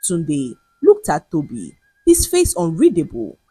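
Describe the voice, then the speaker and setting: Female folktale narrator, lowish pitch, slightly husky, dramatic delivery. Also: a little slow, dry recording. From Nigeria. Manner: dramatic, expressive storytelling. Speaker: storyteller. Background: music.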